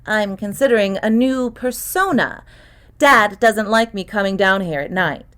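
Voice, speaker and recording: A woman voicing a precocious young girl in an audiobook, calm and clear, with a cynical, worldly edge. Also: mid-range voice, measured pace. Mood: coolly sarcastic. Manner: clear, calm, worldly beyond her years. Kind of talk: acted audiobook dialogue.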